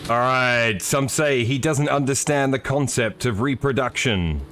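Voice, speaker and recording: A middle-aged man commentating a sim race on a live stream, slightly hoarse, relaxed and joking with many fillers. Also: medium pitch.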